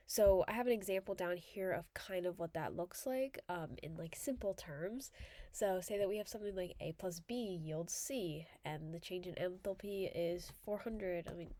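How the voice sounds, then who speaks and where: A woman's voice: medium pitch, dark tone, no room sound. A young woman with a congested voice from a cold, casually talking through a chemistry problem as a student tutor, chatty and full of fillers. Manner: chatty, filler-heavy, self-correcting. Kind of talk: casual chemistry walkthrough video.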